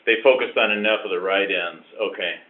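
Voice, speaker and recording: Low-voiced American man on phone line. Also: fairly quick, a loud crowd. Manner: inquisitive, informal. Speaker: caller asking questions. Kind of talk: casual phone conversation. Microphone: telephone. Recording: tinny recorded phone call.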